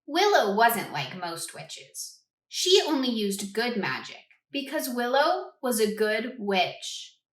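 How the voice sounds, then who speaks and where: A woman reading a picture book aloud to children in an expressive way, stopping to ask them questions. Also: on the high side, takes it slowly. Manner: expressive, asks listeners questions. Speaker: read-aloud host for children. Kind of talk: children's storybook read-aloud. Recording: roomy echo.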